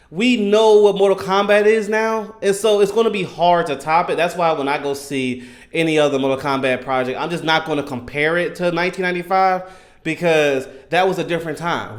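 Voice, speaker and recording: A man in his thirties giving casual, enthusiastic fan commentary in an animated, emphatic, slangy way. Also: fairly high pitch. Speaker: gaming content creator.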